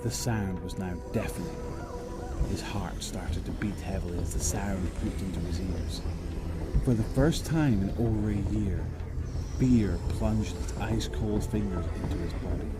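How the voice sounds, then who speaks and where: A low male voice , an actor's, narrates a grim zombie tale in an audio drama. The delivery is measured and descriptive, with music prominent underneath. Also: natural voice. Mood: grim, suspenseful.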